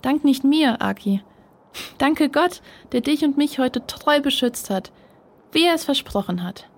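A woman's voice: high voice, expressive, unhurried. A woman playing a caring, brave older sister, a devout girl, speaking earnestly in a children's audio drama. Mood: tender, relieved.

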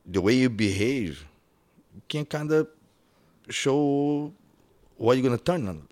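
A man's voice: medium pitch, expressive, slow, darkish tone. A man with a Portuguese accent chatting casually as a podcast guest. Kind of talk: casual chat.

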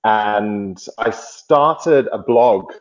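Australian guy in his 30s, lowish voice, measured interview answers, muffled call audio. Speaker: podcast guest. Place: at home in London. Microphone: remote call.

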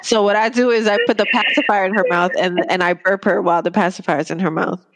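A woman in a casual chat, telling her story in a relaxed, conversational way. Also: mid-range voice, fast, low-quality recording.

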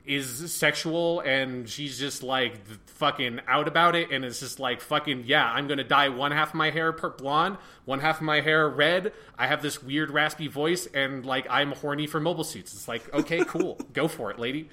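A man's voice: fairly high pitch, moderate pace, hardly any pauses, sharp tone. A man co-hosting a casual review discussion, rambling loosely and swearing freely. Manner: rambling, many fillers and restarts, swears casually. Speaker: podcast co-host.

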